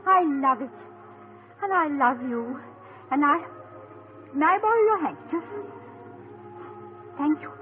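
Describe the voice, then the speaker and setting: A gentle, refined young wife. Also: female voice, high voice, animated, measured pace, pauses often, lo-fi sound. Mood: tender. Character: affectionate young wife, a former actress from England. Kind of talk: 1950s radio drama acting. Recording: old radio broadcast recording with music.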